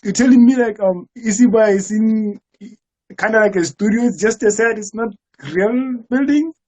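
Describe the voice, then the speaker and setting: A woman's voice: on the high side, normal speed, dark tone, poor audio. A woman with a South African accent hosting a casual, slangy interview. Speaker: interview host.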